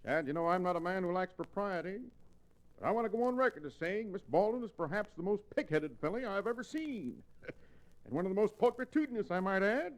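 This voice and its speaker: A pompous old-time radio western sidekick. His voice sits high and swings widely as he rolls out florid words with great self-satisfaction. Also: animated, fairly quick, rough recording. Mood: pompous, amused. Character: wordy, self-satisfied sidekick with a taste for drink.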